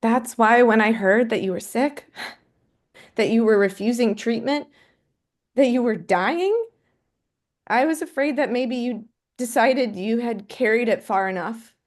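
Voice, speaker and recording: Woman playing bereaved mother, fairly high voice, earnest, tender, quietly resilient, drama script reading. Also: measured pace, lightly acted. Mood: sorrowful. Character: grieving mother, tender and resilient toward her estranged husband. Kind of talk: dramatic script table read.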